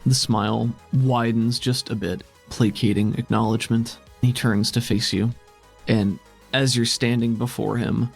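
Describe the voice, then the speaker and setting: A man's voice: medium pitch, steady tone, a little slow, gravelly, faint music behind. A man narrating a tabletop game as game master, in descriptive, dramatic storytelling.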